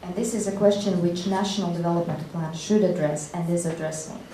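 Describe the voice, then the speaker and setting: A woman panelist explaining policy in a measured, explanatory way. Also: on the low side, fairly even intonation, warm tone. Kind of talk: panel discussion. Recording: echoey hall, mic far off, faint noise.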